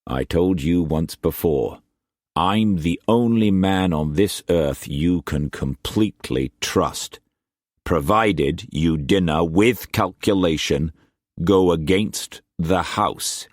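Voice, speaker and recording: A man voicing a shrewd, fatherly older Scottish trader who speaks in a measured, gruff way. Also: low voice, no room sound, studio quality. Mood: stern. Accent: Scottish put-on.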